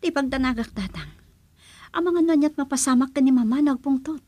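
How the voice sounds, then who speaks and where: A woman's voice: sits high, fairly quick, darkish tone, no room sound, lightly acted. A woman playing a dutiful, anxious young daughter in a radio drama, with an Ilocano Filipino accent. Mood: worried.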